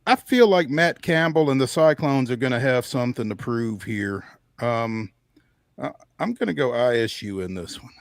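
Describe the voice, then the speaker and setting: A man co-hosting a sports show, talking casually through his game picks in a measured, halting way as he thinks aloud. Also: mid-range voice, lively intonation, creaky, no echo, clean studio sound.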